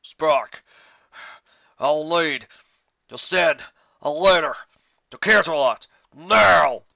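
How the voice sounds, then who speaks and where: A man voicing a big, stoic, earnest country stallion, loud and emphatic. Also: fairly high pitch, expressive, extremely slow, creaky, no echo, highs cut off, voice pushed up, heavily acted. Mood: urgent.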